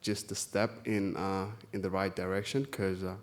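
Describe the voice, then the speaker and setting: A young man from South Sudan speaks as a guest at a live conference. His voice is deep and fairly flat in melody. He talks informally about himself, in a hesitant, halting way. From South Sudan, born in a Kenyan refugee camp. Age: 20s. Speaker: guest speaker, youth volunteer. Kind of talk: informal personal talk. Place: conference stage, live event.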